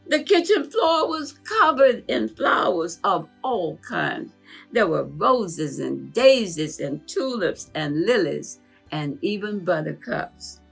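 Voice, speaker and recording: A woman's voice: fairly low pitch, gravelly, slightly rough. A woman narrating a children's storybook in a conversational storytelling manner.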